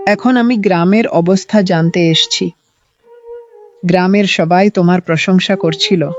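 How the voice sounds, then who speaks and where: A performer playing a kindly, weary old village woman in a cartoon story, speaking slowly and gently. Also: mid-range voice, close to an everyday voice. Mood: kindly approving. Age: older. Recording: dull, lo-fi cartoon audio. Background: clear flute music.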